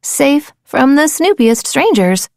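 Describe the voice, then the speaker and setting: High, very animated woman storyteller. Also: dry recording, studio quality. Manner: dramatic, playful, deliberate. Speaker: audiobook narrator. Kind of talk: expressive children's storybook narration.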